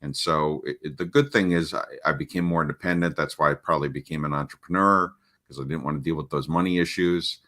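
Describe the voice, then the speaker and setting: A middle-aged man with a deep voice, a parenting coach talking casually in an interview, with many restarts and fillers. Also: steady tone, fast talker, pushed and bright.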